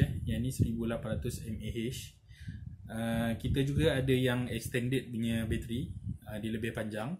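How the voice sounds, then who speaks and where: Malaysian man, low-key product reviewer, casual explanatory talk, frequent checking tags, noticeable background noise.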